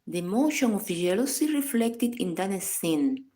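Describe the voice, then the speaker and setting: A woman reading a scripted guided meditation in a calm, measured, instructional manner. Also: medium pitch. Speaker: psychologist guiding a meditation.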